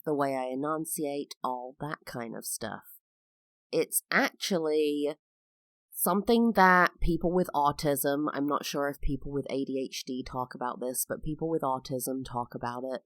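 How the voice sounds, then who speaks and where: A woman's voice: very deep voice, a little slow, fairly bright tone, dry recording. A woman from England hosting a solo podcast, talking in a personal, explanatory way with frequent fillers. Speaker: podcast host.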